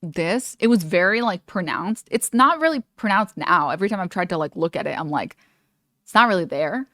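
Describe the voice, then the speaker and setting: A woman's voice: lowish voice, lively intonation, fairly quick, sharp tone, no echo, clean studio sound. A young woman streaming, chatting casually with lots of "like" and "you know" as she meanders through her thoughts. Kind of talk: casual reaction stream commentary.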